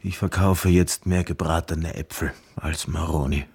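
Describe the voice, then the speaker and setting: An actor playing a plain-spoken travelling chestnut seller in a radio drama, with a husky voice and a simple, deliberate way of talking. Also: male voice, very deep voice, fairly even intonation, a little mellow, full character voice. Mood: grumbling.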